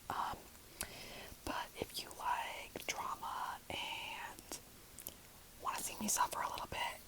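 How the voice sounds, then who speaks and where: A woman's voice: a little high, rough recording. A young woman who works a part-time food service job, whispering a story and venting with lots of "like" fillers. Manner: whispering throughout, frequent "like" fillers; exasperated venting.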